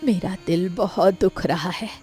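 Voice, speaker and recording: A woman's voice: lowish voice, a little slow, warm tone, faint music behind, lightly acted. A woman playing an anxious, sorrowful elderly village mother in a cartoon story, with a plaintive, lamenting manner. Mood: hurt, sorrowful. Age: older. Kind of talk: Hindi moral cartoon story dialogue.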